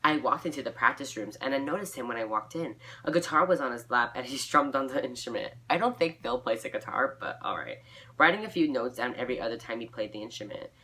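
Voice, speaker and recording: A woman's voice: extremely low pitch, lively intonation, quick pace, bright, forceful tone. A young woman reading her fan fiction aloud informally, breaking off for giggly, self-mocking asides.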